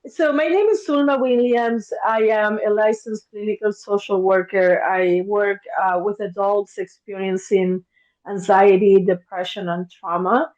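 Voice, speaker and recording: Lively Argentine-born woman on a dull line. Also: a little slow. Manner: warm, animated, acts out her past thoughts in a higher voice. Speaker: podcast guest, therapist. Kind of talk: casual interview storytelling.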